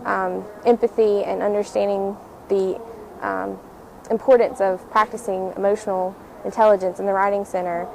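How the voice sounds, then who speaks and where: Young female tutor. Gravelly fry, medium pitch, halting anecdote full of ums, light room noise. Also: level tone, darkish tone, rough recording. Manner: halting, hesitant delivery with frequent ums. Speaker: writing tutor. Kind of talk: personal anecdote.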